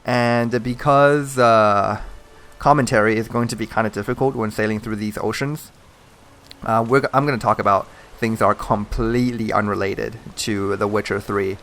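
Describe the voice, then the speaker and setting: A man's voice: on the low side, steady tone, moderate pace, fairly bright tone. A man born in mainland China, a native Mandarin speaker with a Chinese accent, chatting in a rambling, hesitant way with lots of fillers while commentating a game he's playing. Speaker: gaming channel host. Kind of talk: casual gameplay commentary.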